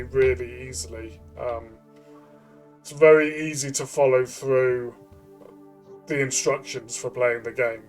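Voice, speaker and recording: A man co-hosting a role-playing game review, explaining things in a casual conversational style. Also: mid-range voice.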